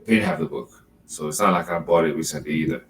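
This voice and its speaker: A man with a very low, deep voice co-hosts a podcast. He chats in a loose, rambling way, swearing freely and drifting from one thought to the next. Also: fast. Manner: laid-back, rambling, profane. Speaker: podcast co-host. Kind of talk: casual chat.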